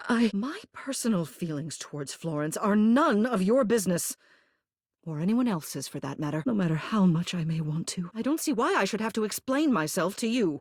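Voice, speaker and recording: A woman's voice: medium pitch, darkish tone, slightly rough, no room sound, studio quality. A woman playing a haughty, formal mayor who is secretly tender, in scripted video game dialogue. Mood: flustered. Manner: prim, formal, officious, clipped commands.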